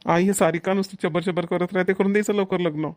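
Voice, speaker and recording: A performer plays a cartoon village boy who bickers and complains. The speech is very quick and mid-pitched, with a rural Vidarbha Marathi accent. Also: steady tone, a little mellow, close to an everyday voice. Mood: complaining. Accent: Maharashtrian, Vidarbha Marathi. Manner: complaining, argumentative. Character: whiny, argumentative boy, brother in village family. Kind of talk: cartoon family comedy dialogue.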